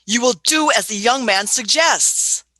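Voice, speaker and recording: A woman's voice: a little high, animated, a little slow, sharp tone, full character voice. A woman voicing an elderly female time traveler in a fan radio play, shrewd and fussy, often hesitating with "uh" and "hmm".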